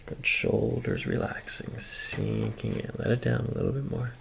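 Very gravelly male chiropractor. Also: medium pitch, huge pitch swings, moderate pace, a little hiss or hum. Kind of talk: hands-on exam, calm instructions to a patient. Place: small carpeted treatment office.

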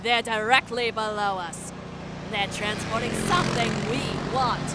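Under heavy engine and ambient noise comes a woman performing a bold female sky-pirate captain from an action cartoon. She is high-pitched and unhurried, commanding and quick to lose her temper with her crew. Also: steady tone, close to an everyday voice. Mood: commanding, boastful. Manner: commanding, brash, barking orders. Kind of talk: animated action-adventure voice acting. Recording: loud vehicle and ambient noise.

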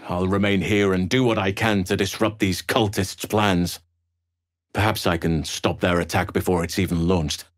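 An actor playing a calm, wise elven druid man in a video game, speaking in a measured, formal, earnest way. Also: low voice, dry recording, clean studio sound, natural voice. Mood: earnest, firm. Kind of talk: video game character voice lines.

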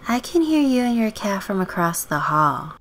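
A woman voicing a bright, chirpy young female neighbour, sweet and curious, in a scripted game story. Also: medium pitch, very animated, moderate pace, slight background noise, voice pushed up, natural voice. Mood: surprised.

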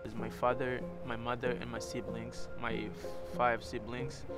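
A man's voice: mid-range voice, flat delivery, unhurried, over loud music. Earnest young man from Tanzania. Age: young adult. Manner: earnest, repeats words as he recounts. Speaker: interviewee. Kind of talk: personal account in interview. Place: outdoors in a snowy residential street.

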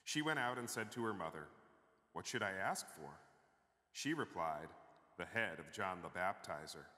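Middle-aged man reading scripture, bright voice. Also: sits low, lively intonation. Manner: measured, clear reading aloud. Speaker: pastor. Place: church sanctuary. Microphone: far camera. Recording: long church reverb.

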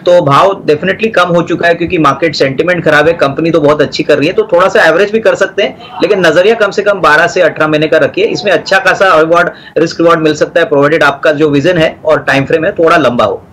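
Man, middle-aged, market analyst, steady advisory tone, slightly high voice, studio lapel mic. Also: fast, few pauses, slight background noise, poor audio. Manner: steady advisory tone, Hindi mixed with English terms. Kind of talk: stock market advice on business TV.

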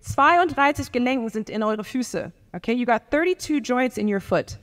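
A young woman fitness coach giving a live workshop talk to a seated audience on a handheld mic, lively, laughing often and checking in with her listeners. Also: on the high side, normal speed. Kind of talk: live workshop talk, mixing English and German. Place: large gym hall with a seated audience. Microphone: handheld microphone. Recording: long hall reverb.

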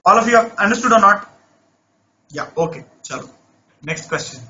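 A man's voice: high-pitched, expressive, normal speed, bright, forceful tone, highs cut off, slight background noise. A man teaching an online math class, talking students through a problem and often checking "right?" with a voice he says is not normal. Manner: coaxing, repeats checks like "right?".

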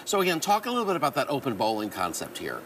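An upbeat middle-aged man hosting a local TV feature from inside a bowling alley. He talks with a playful, easygoing energy. His pitch sits in an ordinary middle range, with faint ambient sound behind him. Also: huge pitch swings, racing, a bit bright, slight background noise. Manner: upbeat, playful, laughs often. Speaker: TV reporter. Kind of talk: on-location feature interview.

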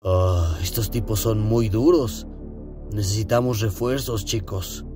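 A woman's voice: very deep voice, a little slow. A woman voicing comic characters in a playful fan dub. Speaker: fan dubber. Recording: home recording over music.

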